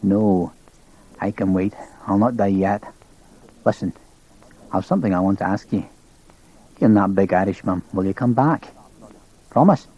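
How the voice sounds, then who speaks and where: A man's voice: on the low side, normal speed, rough recording. A man voicing a dying wounded captain with a Scottish accent, speaking weakly and matter-of-factly. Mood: pleading. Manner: weak, quiet, matter-of-fact.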